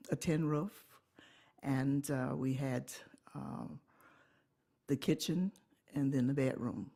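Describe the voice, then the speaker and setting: A woman, telling her life story in an oral history interview, unhurried and pausing often to correct herself. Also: very deep voice, gravelly, dark tone. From Alabama, USA. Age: 80s. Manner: unhurried recollection with pauses and self-corrections.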